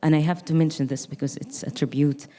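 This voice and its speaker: Young woman from gaza talking on a panel, low mellow voice, thoughtful, restarts a lot. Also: fairly even intonation, quick pace. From Gaza Strip. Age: young adult. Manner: reflective, earnest, with frequent restarts. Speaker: artist. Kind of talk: panel conversation.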